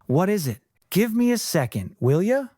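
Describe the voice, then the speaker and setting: Man acting wary, teasing young brother, animated pitch. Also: normal speed, no room sound, studio quality. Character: young twin brothers, male, wary, teasing game contestants. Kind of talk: acted audiobook dialogue.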